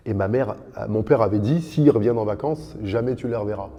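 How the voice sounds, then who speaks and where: Guest, man in his fifties, earnest storyteller; mellow, husky voice with a long hall echo. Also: on the low side, fast. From born in Dakar, raised in France. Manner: earnest, builds long lists with rising emphasis. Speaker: podcast guest, kickboxing champion. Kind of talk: reflective storytelling conversation.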